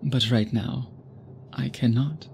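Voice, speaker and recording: A man performs as an immortal male vampire suitor, obsessed and weighed down by guilt. His medium-pitched voice moves very slowly in formal, halting phrases, with a brooding intimacy suited to an ASMR drama. Noticeable background ambience sits behind him. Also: fairly even intonation, creaky, a little mellow, slight background noise. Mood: yearning, possessive.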